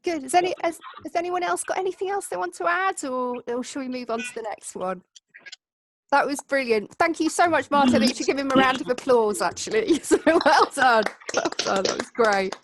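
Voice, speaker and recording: A woman's voice: high voice, lively intonation, fairly quick, noisy background. A woman informally hosting an online event over a video call, inviting comments and moving things along. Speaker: host.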